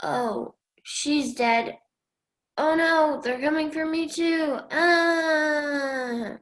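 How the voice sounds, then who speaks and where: Radio play role: weary commander played by a teenage woman, high voice, extremely slow, plaintive. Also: fairly bright tone, heavily acted. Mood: dismayed. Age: late teens. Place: home room on a video call.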